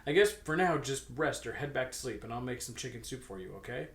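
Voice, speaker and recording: A man's voice: mid-range voice, lively intonation, fast talker, a bit bright. A man voicing a caring teenage schoolboy who fusses attentively over a friend, lightly theatrical, in a fan fiction reading. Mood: concerned. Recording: echoey room.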